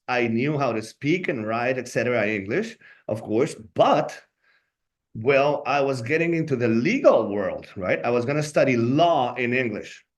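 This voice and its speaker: Colombian man with a Spanish accent. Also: medium pitch. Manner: earnest, often adds "right?" as a tag. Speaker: law school staff member. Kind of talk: informational webinar talk.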